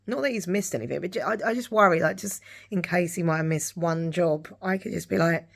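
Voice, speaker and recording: Husky, creaky woman rambling casually. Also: fairly low pitch, brisk. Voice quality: husky, dry throat. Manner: rambling, repeats herself. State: throat dry from hay fever, clearing it. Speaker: lifestyle vlogger. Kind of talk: casual rambling chat to camera.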